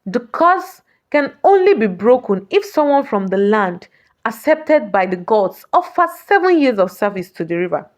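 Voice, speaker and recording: A woman voicing a gentle, solemn river goddess in a folktale, speaking in an instructive, measured manner. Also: on the high side, big pitch swings. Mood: solemn, revealing.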